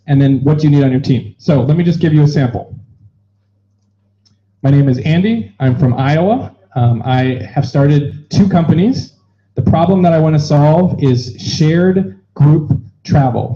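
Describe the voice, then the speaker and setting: A man facilitating an event, explaining the rules to an audience in an upbeat, joking way. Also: on the high side. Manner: upbeat, joking, quick asides. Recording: dull lo-fi sound.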